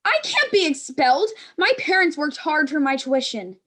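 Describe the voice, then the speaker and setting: A woman's voice: high voice, animated, moderate pace, bright, forceful tone. A woman playing an anxious, dramatic schoolgirl in a staged play reading, with a fretful manner. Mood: anxious, panicky.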